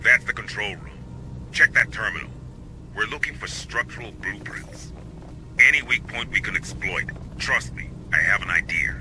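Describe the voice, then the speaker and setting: A man playing a steady, confident security officer who guides marines with dry authority in a video game. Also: on the low side, normal speed, over music, lightly acted, rough recording. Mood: calm, resolute. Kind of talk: scripted video game dialogue.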